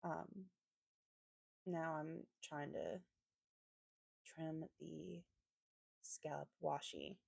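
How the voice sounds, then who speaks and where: A woman with a slightly raspy voice giving a casual craft voiceover, rambling hesitantly and often correcting herself as she goes. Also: deep voice, big pitch swings, drawn-out words, long pauses, darkish tone, no echo. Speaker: planner vlogger and host.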